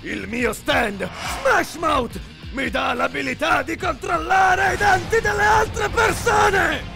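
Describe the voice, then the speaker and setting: A man playing a boastful leather-clad anime villain in a parody dub, bombastic and gravelly, drawing out his words as he shouts. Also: very high voice, slow, over music, crowd noise, full character voice. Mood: gloating.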